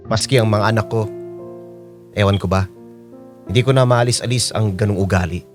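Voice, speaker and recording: An actor playing a cheeky, romantic older widowed father, narrating confidingly in the first person in a radio drama. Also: mid-range voice. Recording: prominent music under the narration.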